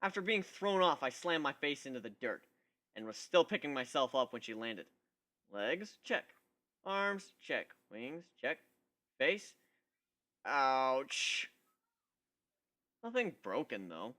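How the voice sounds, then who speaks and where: A man's voice: medium pitch, big pitch swings, slow pace, pauses often, bright, forceful tone. A man giving an amateur first-person dramatic reading of a story in a wry, conversational manner. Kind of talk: first-person dramatic narration of fan fiction.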